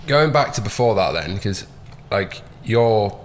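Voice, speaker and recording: A man's voice: on the low side, lively intonation, unhurried, slight background noise. A man hosting a podcast, asking questions in a laid-back, casual way with some swearing. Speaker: podcast host. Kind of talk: casual interview chat.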